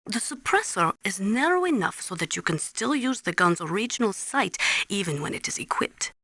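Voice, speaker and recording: Tough female military analyst, Ukrainian accent, bright crisp tone, earnest, deliberate, instructive, video game briefing, dry close sound. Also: mid-range voice, sing-song, no echo, exaggerated voice. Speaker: military analyst and nuclear specialist. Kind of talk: scripted game voice acting, briefing.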